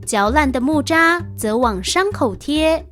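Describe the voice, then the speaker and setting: A woman reading scripted literary passages in a measured, recited style. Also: high-pitched, lively intonation, fairly bright tone, faint music behind.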